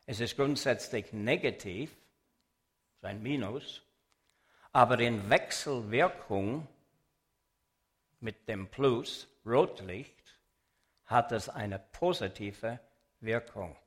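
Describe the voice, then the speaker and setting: Slow-talking man. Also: medium pitch. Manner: deliberate, explanatory, addresses audience directly. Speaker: speaker giving an illustrated religious talk. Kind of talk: public lecture with sermon message.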